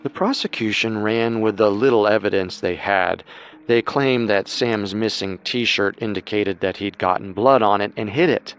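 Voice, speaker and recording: A man co-hosts a true-crime show, reading scripted narration. His voice sits somewhat low. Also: moderate pace, a bit bright, smooth. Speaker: podcast co-host and narrator.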